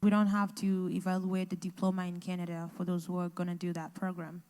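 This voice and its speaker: A woman interpreting consecutively into English, halting and restarting as she translates. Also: fairly low pitch, monotone, a little mellow. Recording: echoey hall.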